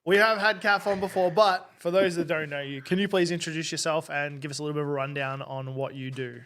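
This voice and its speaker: A male podcast host, talking in a loose, chatty way. He laughs a lot and drifts into rambling asides. His voice sits a little high for a man. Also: fairly even intonation, fast talker, a bit bright, a little hiss or hum. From Australia. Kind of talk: casual chat.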